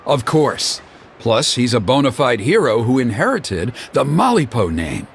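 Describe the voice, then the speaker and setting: A man's voice: mid-range voice, big pitch swings, measured pace, creaky, slight background noise. A man playing an exasperated, gossipy male ceremony organizer who grumbles and sighs. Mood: earnest.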